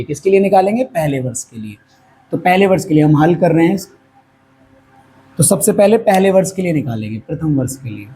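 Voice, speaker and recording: Hoarse male maths teacher. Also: fairly high pitch, mellow, rough recording. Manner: patient, asks questions and answers them himself. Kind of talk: classroom lesson at a whiteboard. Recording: faint background noise.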